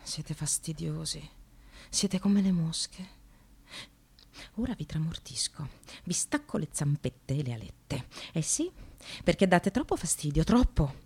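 A fed-up receptionist in a radio play, sardonic and bitter. Her lowish voice swings widely between muttered asides and dramatic outbursts. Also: lively intonation, a little slow, a little mellow, husky, heavily acted. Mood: weary, menacing. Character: exasperated, bitterly sarcastic precarious receptionist, a working mother. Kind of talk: theatrical radio monologue.

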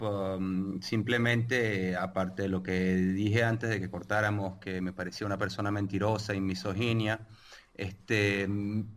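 A man whose first language is Italian, debating casually with many pauses, fillers and restarts. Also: fairly low pitch, fairly bright tone.

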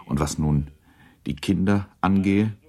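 An actor as a measured, gently ironic narrator of a literary radio drama. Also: male voice, low voice.

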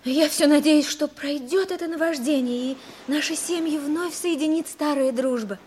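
An actress playing an anxious, earnest young fiancée in a theatrical 1960s Soviet radio play. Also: female voice, sits high, normal speed, darkish tone, close to an everyday voice. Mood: wistful. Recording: old radio recording, faint hiss.

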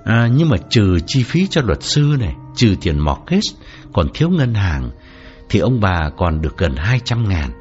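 A man's voice: fairly low pitch, sing-song, a little slow, a little mellow, pitched below the performer's own voice. A man voicing a middle-aged property agent who explains himself carefully and politely, hedging to soothe an angry client, in an audiobook. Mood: explaining. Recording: slightly dull, faint background music.

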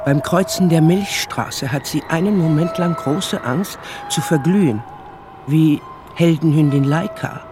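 A man's voice: fairly high pitch, unhurried, over music, natural voice. A man narrating a German radio play in a storytelling style.

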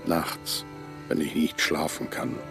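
An actor playing a brooding, cryptic man stranded in a small town who haunts the river at night, speaking in a hushed, bitter way in a radio drama. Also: very deep voice, steady tone, slow, over music, full character voice.

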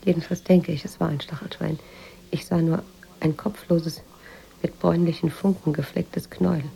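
A reflective woman writer in a radio drama. Her low voice is mellow and often creaky, and she tells her memories with measured, literary introspection over faint noise. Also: steady tone, rough recording. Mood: hushed recollection. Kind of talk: radio drama narration and dialogue.

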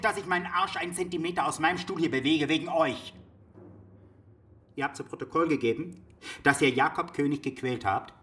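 Radio drama casting: a gruff, contemptuous male inspector, husky voice, impatient, swears and repeats himself to intimidate. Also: fairly high pitch, sharp tone, heavily acted. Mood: angry, contemptuous. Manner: gruff, cursing, hammering repetition. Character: coarse, bullying police inspector, contemptuous and impatient.

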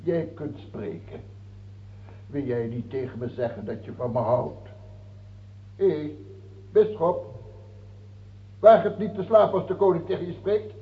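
An actor playing a cruel, mocking and capricious king in a radio drama, imperious, husky and gravelly. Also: on the high side, unhurried, pauses often. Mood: taunting, musing. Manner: imperious, taunting, hesitating then outbursting. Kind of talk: theatrical radio drama acting. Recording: muffled, phone-like old radio play recording.

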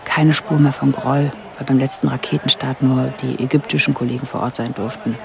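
Young woman narrator, creaky voice, radio play. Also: a bass voice, steady tone, brisk, crowd noise. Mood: observant, intense. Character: Rita, young German woman working on Egyptian rockets, observant narrator.

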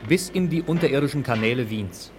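A sober crime thriller narrator voiced by a man in a radio play. He has a medium-pitched voice and reads scripted narration over clearly audible music. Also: animated, brisk, natural voice. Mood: sober, suspenseful.

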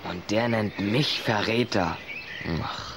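A male actor playing a cautious, cheeky, quick-witted boy in a German children's radio play, casual and placating. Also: lowish voice, a bit bright, a little hiss or hum. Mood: dry.

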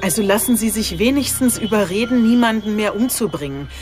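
An actress in a radio drama playing a composed, professional police chief inspector, polite, controlled and matter-of-fact. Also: female voice, mid-range voice, expressive, a little fast, over music, close to an everyday voice. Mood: firm, probing.

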